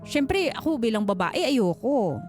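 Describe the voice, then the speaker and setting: A woman's voice: on the high side, sing-song, unhurried, a little mellow. A woman co-hosting a radio advice show, chatting casually with a caller in a frank, teasing way. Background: background music.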